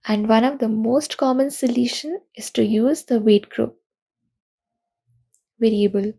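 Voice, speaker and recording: A young woman speaking at a programming conference, walking through technical pitfalls one by one. Her voice sits a little high but has a mellow, dark tone, with a lot of gravelly fry at the ends of phrases. She explains in an earnest, steady manner. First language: not Russian. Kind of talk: recorded technical talk.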